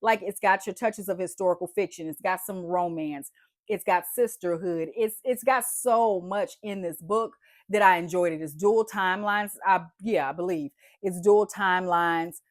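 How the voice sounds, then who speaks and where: Stuffy lady vlogger gushing about books, lots of y'all and like, lowish voice, dry room. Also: moderate pace, no echo. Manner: chatty, animated, says "y'all" and "like" often. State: congested, stuffy nose. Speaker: book vlogger. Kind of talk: casual book review chat.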